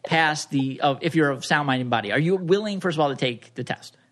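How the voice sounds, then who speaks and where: A man co-hosting a podcast, playfully quizzing a guest in a joking, halting way. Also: a little high, a bit bright. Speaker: podcast co-host. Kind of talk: casual chat, playful quizzing.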